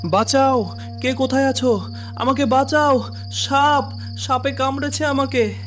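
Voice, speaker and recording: A man voicing a greedy cartoon villain, pleading in high-pitched panic. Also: steady tone, measured pace, a bit bright, soft background music. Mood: terrified. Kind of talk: dramatic cartoon story acting.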